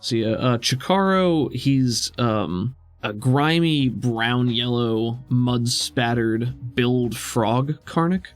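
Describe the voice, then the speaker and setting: A man's voice: mid-range voice, slow pace, hardly any pauses. A man narrating a tabletop roleplaying game as game master, conversational and vividly descriptive. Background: faint music.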